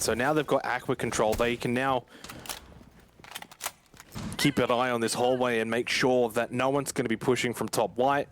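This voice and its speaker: A man's voice: medium pitch, noisy background. A man commentating live on a shooter match, conversational and repeating his points for emphasis. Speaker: esports commentator.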